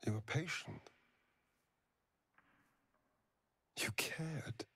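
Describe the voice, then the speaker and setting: A man's voice: on the high side, a little slow, bright, forceful tone, slightly rough, full character voice. An actor playing a charming, wounded male vampire spawn in a video game, with a theatrical, drawling, wry delivery. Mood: tender.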